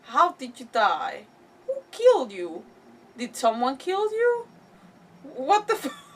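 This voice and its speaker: A woman's voice: high voice, huge pitch swings, slow pace, slightly rough, far from the mic. A woman live-streaming a game, commenting in an informal, reactive way and rambling through her explanations. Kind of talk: casual live let's-play commentary.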